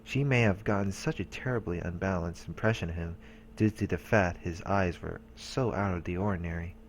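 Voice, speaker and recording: A man's voice: sits low, brisk, slight background noise, rough recording. A man hosting a let's play, casually reading a visual novel's story text aloud. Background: game music.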